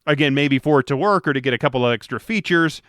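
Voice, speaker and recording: A man's voice: medium pitch, fast, fairly bright tone, no room sound, clean studio sound. A man hosting a podcast, chatting casually and rambling with many restarts. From Detroit, USA. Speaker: podcast host. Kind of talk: casual interview chat.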